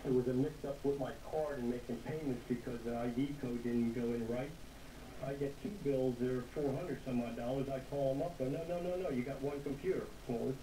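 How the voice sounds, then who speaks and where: A man chatting casually as an amateur radio operator, in a halting, rambling manner. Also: mid-range voice, dark tone. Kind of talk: casual ham radio chat. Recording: roomy, noisy ham radio contact.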